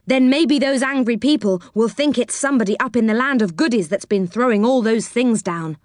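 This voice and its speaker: Woman reading as an excitable storybook boy. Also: a little high, a little fast, no room sound, studio quality, pitched above the performer's own voice, natural voice. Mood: urgent planning. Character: excitable young boy, alarmed and giggly.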